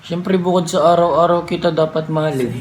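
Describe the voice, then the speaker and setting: A man's voice: fairly high pitch, fairly even intonation, brisk, a little hiss or hum. A young man, a vlogger making a teasing prank call, playful and mock-serious, laughing often. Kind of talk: casual chat, teasing. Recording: echoey room.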